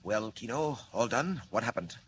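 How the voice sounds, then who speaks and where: A man plays a scheming crime boss in an old radio serial. His voice is mid-pitched, formal and imperious. Heavy noise runs through the 1940 recording. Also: lively intonation, normal speed, close to an everyday voice. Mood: suspicious. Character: the Wolf, scheming, commanding criminal boss. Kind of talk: 1940s radio serial acting.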